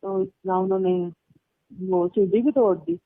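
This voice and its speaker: Woman chatting casually on the phone telling her own stories, thin tinny call audio, medium pitch. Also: fairly even intonation, unhurried, dry recording. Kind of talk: casual phone chat, recounting personal stories. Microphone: telephone line. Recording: tinny, band-limited phone call recording.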